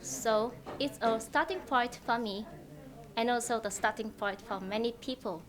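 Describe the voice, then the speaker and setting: Halting young woman from Vietnam. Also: fairly high pitch, crowd noise. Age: young adult. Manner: earnest, halting, with many "uh". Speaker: youth delegate. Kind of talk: short statement to camera.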